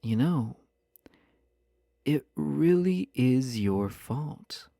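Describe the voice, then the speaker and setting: A man acts out a possessive yandere husband flirting like a stranger in an intimate roleplay audio. He speaks slowly in a mellow, mid-pitched voice, teasing and coy with a show of innocence. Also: animated, no room sound, studio quality, exaggerated voice. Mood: coaxing, flirty. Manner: teasing, coy, smooth-talking with mock innocence.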